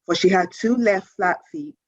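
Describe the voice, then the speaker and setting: A woman author reading a children's story aloud in a measured, expressive storytelling manner. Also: on the low side, no room sound, highs cut off.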